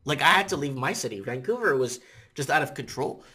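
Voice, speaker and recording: A man in his thirties whose first language is Portuguese, talking casually to a webcam in a rambling, animated way with lots of repetition and "you know what I'm saying?". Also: mid-range voice, a little fast, breathy edge. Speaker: vlogger. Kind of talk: casual opinion vlog to camera. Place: indoors in front of a green-screen city backdrop.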